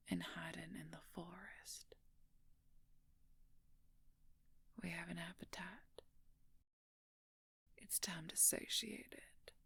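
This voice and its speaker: A woman playing a smug, possessive female werewolf in an audio roleplay, murmuring her condescending threats in a breathy, often whispered voice. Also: fairly low pitch, fairly bright tone, no echo, heavily acted. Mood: hungry, threatening. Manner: slow, smug, condescending, drawn-out menace. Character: menacing, possessive female werewolf, former court mage. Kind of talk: audio roleplay monologue addressed to the listener.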